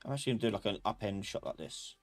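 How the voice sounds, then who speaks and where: A man teaching a live software class, thinking aloud in a casual, informal way as he explains step by step. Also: on the low side, rapid-fire, soft background music.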